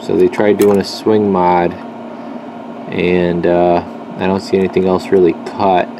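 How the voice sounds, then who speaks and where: Low, gravelly man talking through repairs. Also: unhurried. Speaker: radio repair technician and video host. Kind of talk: casual hands-on repair commentary. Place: indoor electronics workshop bench. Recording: noisy workshop with steady background hum.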